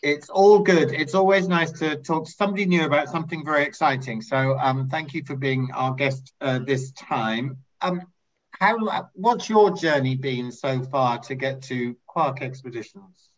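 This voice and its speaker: A man's voice: a little high, normal speed, dull audio. A man hosting a podcast, introducing and interviewing a guest. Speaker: podcast host.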